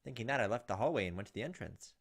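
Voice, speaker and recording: A man's voice: lowish voice, animated, racing, vocal fry, husky. A man doing a casual let's play, reading a visual novel aloud and adding his own informal asides. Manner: informal, reacts to the story as he reads. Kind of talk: casual gameplay narration with asides.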